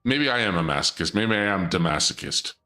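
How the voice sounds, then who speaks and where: Joking, rambling man with a bright voice. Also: deep voice, animated, fairly quick, faint music behind. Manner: rambling, joking, theatrical dark-lord persona. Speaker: streamer. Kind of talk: casual stream chat.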